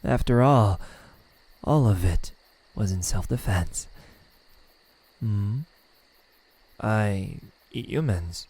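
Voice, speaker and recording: A man performs a teasing, smug male demon in an intimate ASMR roleplay. His voice is dark and breathy, on the low side. He taunts very slowly, letting pauses hang with mocking softness. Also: exaggerated voice, low-quality recording. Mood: resigned, soft. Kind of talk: ASMR roleplay, slow intimate villain acting.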